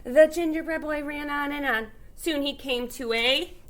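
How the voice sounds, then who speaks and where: A woman's voice: high-pitched, measured pace. A woman reading a children's story aloud expressively, with emphatic stretched phrases and little explanatory asides. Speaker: storybook reader.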